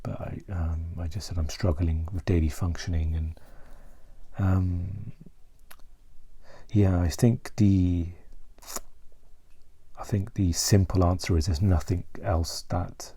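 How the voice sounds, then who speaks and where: A man speaking softly and haltingly in a confessional vlog, searching for words and at times trailing toward a whisper. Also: low-pitched, steady tone, breathy edge, no room sound. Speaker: mental health vlogger.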